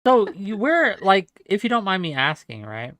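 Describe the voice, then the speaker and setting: A woman's voice: mid-range voice, very animated, moderate pace, a bit bright, no echo. A woman moderating an online debate over a voice call, probing the guests with questions.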